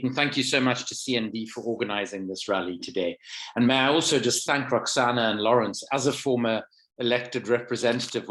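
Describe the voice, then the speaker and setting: A middle-aged man from South Africa giving a measured, emphatic campaign rally speech with a sore throat. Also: medium pitch, a bit bright. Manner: measured, emphatic, slows for weight. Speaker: rally speaker.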